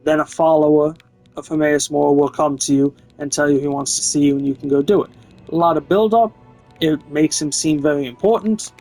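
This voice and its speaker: A man with a slightly high voice talks through a casual game review. His delivery is frank and opinionated but fairly even in tone. Music plays softly behind him. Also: a little fast, low-quality recording.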